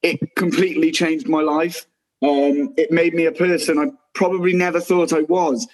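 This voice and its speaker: An earnest man in a casual reunion chat, thanking everyone with plenty of restarts. His voice sits a little on the high side. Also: level tone, moderate pace, rough recording. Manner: earnest, grateful, with many restarts. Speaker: cast member.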